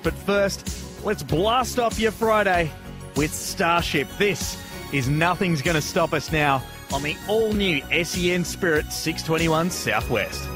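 An Australian man in his thirties presenting a music radio show, speaking close on a studio mic. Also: a little high. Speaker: radio host. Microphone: close studio broadcast mic on boom arm.

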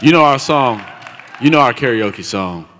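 A man's voice: medium pitch, lively intonation, moderate pace. A man who is a guest on a theater panel, joking and teasing the others on a handheld mic. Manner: joking, teasing, slips into rapping. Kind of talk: playful panel banter. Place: large theater stage. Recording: loud crowd and noisy theater.

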